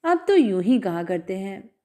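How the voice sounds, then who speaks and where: A woman's voice: medium pitch, big pitch swings, unhurried, warm tone. A woman narrator voicing a defiant young college girl who answers back scornfully in a story reading. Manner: bold, scornful retorts.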